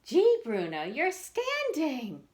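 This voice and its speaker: A cheerful young storybook boy, voiced by a woman reader, proud and emphatic. The pitch jumps about with lively expression. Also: takes it slowly, heavily acted. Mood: amazed. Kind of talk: children's storybook character voice.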